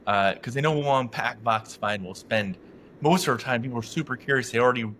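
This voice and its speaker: Bright-voiced, wordy interview host. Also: male voice, mid-range voice, quick pace, a little hiss or hum. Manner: wordy, rambling questions with fillers. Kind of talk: interview hosting.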